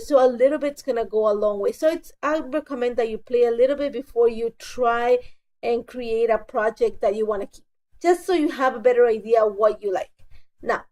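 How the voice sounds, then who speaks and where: A woman's voice: a little high, dark tone. A woman with a stuffy cold, narrating a scrapbooking tutorial in a chatty voice, often correcting herself and apologizing. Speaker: scrapbooking crafter. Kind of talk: casual voice-over tutorial.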